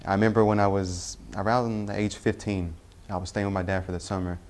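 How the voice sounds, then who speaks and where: A young man from Tennessee, telling his personal faith story in a halting way, with frequent pauses and self-corrections. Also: lowish voice, flat delivery, a little mellow. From Tennessee, US Southern. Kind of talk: personal testimony.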